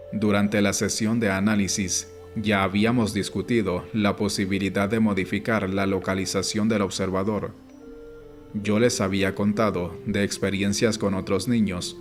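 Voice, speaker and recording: A man reading an audiobook as scripted narration, in a measured, instructive way. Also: lowish voice. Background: background music.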